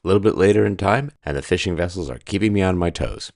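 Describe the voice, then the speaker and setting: Deep-voiced man narrating a sailing voyage. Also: lively intonation, fast talker, creaky, background noise. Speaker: solo sailor and channel host. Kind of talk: scripted voice-over narration.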